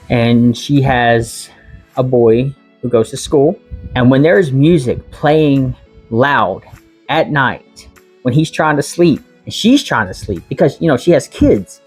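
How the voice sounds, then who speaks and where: A woman's voice: a bass voice, a little mellow, husky. A young American woman talking frankly in a casual vlog, chatty and laughing often. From United States. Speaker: vlogger.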